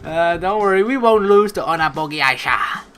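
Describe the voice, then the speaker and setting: A let's play host with a bright, forceful voice and very animated pitch. The commentary rambles and reacts excitably, slipping into reading the story aloud. Game music sits behind the voice. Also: normal speed. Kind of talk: game playthrough commentary with dramatic read-aloud.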